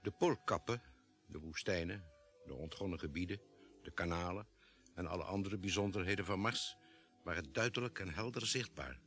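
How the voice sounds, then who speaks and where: Low, animated space-expedition narrator. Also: male voice. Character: spaceship doctor recounting a Mars expedition. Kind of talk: scripted narration in old Dutch radio drama.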